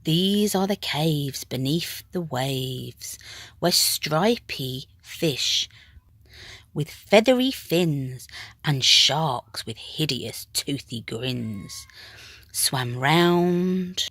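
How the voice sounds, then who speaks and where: A woman reads a children's picture book in verse with a lowish, very lively voice. She takes her time and plays up the drama, sometimes hushed and lingering on words. The sound is close and dry. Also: dry recording. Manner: dramatic, with hushed whispered phrases and drawn-out words. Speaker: storybook reader. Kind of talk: expressive children's picture-book narration in rhyme.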